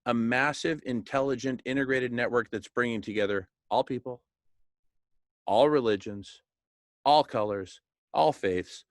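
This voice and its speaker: A man talking informally and enthusiastically like an organizer pitching a plan, emphatic and jokey, often checking for agreement. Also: a little slow, dry recording, studio quality. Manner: enthusiastic, emphatic, repeatedly seeking agreement with tag questions; jokey, chuckles at his own remarks. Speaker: organizer of a food charity project. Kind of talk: informal motivational planning talk.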